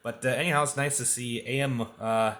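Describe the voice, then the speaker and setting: A man's voice: medium pitch, fairly even intonation, a little fast, fairly bright tone, roomy sound. A man chatting casually as an amateur radio operator. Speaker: amateur radio operator narrating and making contact. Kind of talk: casual chat.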